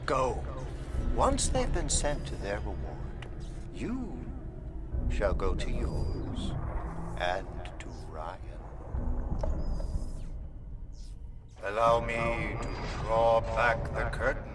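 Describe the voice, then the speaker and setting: An actor playing a flamboyant, unhinged, murderous old artist in a video game, speaking in a florid, theatrical manner. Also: male voice, lowish voice, big pitch swings, takes it slowly, very noisy. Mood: grandiose. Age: older. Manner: theatrical, florid, swinging between purring and shrieking. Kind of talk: dramatic video game acting. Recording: music behind the voice.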